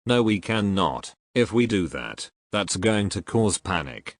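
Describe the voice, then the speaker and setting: A man's voice: on the low side, sing-song, a little slow, no echo. A man playing an alarmed, foul-mouthed survivor arguing bluntly in an amateur disaster drama. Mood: tense, exasperated. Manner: blunt, exasperated, sweary. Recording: loud background noise.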